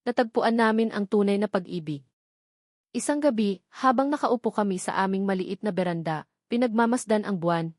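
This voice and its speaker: A woman telling a romance story in the first person, in a soft, heartfelt, scripted narration style. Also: medium pitch, no room sound, studio quality.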